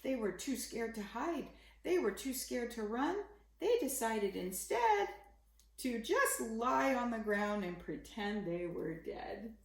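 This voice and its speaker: Female storyteller for young kids, expressive rhyming read-aloud, unhurried, creaky edge, roomy sound. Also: on the high side. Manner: simple, dramatic storytelling aimed at a young child. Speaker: children's story reader.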